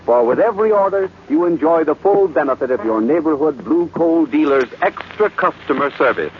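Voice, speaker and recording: A muffled old radio broadcast of a male announcer. He is formal and emphatic, with swinging, animated intonation and a little background noise. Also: a little high, a little fast. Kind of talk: 1940s radio announcing and sponsor pitch.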